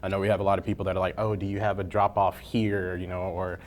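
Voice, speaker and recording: A man's voice: deep voice, level tone, quick pace. A man hosting a casual web interview, speaking informally with jokey asides. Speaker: interview host.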